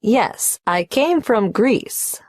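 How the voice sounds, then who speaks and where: A polite, cooperative young woman applicant who answers each question carefully. She speaks slowly and clearly, in a dry studio recording. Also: a little high, expressive, no room sound, heavily acted. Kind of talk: scripted exam listening dialogue.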